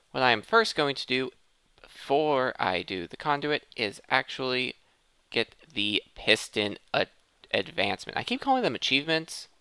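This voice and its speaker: A man gives casual gameplay commentary in a bright, clear voice. His pitch moves around in an animated way as he thinks aloud and corrects himself. The sound is close and dry, with hardly any room in it. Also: dry recording. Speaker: gaming video host.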